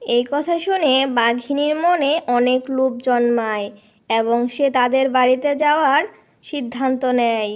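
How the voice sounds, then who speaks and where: A woman giving scripted narration for a children's animated fairy tale. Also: sits high, measured pace.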